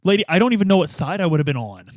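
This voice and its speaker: A man's voice: high-pitched, big pitch swings, very fast, no room sound, studio quality. A man playing a tabletop roleplaying game with casual, sarcastic banter, slipping half into character.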